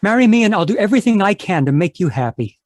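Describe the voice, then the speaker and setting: A man's voice: high voice, big pitch swings, quick pace, no echo, voice pushed up. A man playing an earnest, pleading young gold prospector in love, in old-time radio drama style. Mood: pleading, smitten.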